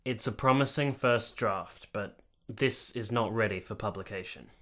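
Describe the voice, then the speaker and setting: An actor playing a reserved, dutiful man in a period radio drama, speaking in a measured, polite and slightly hesitant way. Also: fairly low pitch, a bit bright. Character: reserved, dutiful man in 1870s Italy, guardedly kind.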